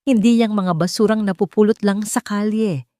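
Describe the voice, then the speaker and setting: A woman's voice: medium pitch, steady tone, moderate pace, dark tone, no room sound, studio quality, voice pushed up, close to an everyday voice. A woman voicing a cold, contemptuous female hospital supervisor who barks orders with scorn. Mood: scornful. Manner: scornful, commanding. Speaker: nurse supervisor.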